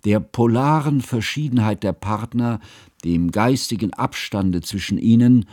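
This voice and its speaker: An actor playing a stiff, pedantic, brooding scholar who narrates in a dry, formal, ponderous way in a radio play. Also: male voice, on the low side, a little slow, a little mellow, no echo, natural voice. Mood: grave, brooding.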